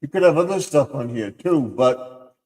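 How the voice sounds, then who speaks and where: A man's voice: mid-range voice, animated, normal speed, darkish tone, long reverb tail. A man hosting a casual live stream, his voice scratchy from a sore throat and his talk rambling and self-interrupting. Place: home room.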